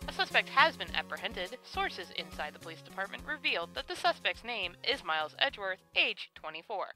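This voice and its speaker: A woman's voice: medium pitch, big pitch swings, fairly quick, bright, forceful tone, soft background music, poor audio. A woman playing a television news anchor in an audio drama, reading scripted bulletins. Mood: urgent.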